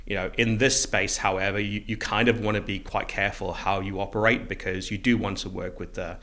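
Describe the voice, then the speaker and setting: A man's voice: lowish voice, little pitch movement, fairly quick, fairly bright tone. A middle-aged man from South Africa speaking conversationally as the guest in a podcast interview. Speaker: interview guest, recruitment business co-founder.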